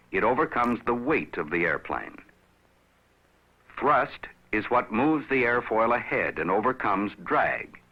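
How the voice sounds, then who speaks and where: A man narrates a scripted educational film in a measured, instructive manner, addressing the viewer directly. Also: fairly low pitch. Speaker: narrator of a pilot training film. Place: recorded voice-over. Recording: dull, aged film soundtrack.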